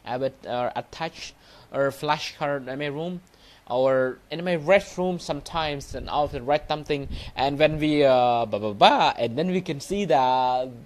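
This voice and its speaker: Halting man with a Cambodian accent. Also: a little high. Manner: halting, repetitive, non-native English phrasing. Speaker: Buddhist monk teacher and livestream host. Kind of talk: informal livestreamed motivational talk and lesson.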